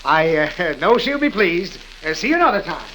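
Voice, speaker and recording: Blustery, stingy office boss, American, easily flustered, vintage radio comedy, crackly broadcast. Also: male voice, high-pitched, very animated, moderate pace, fairly bright tone. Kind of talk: 1930s radio comedy acting.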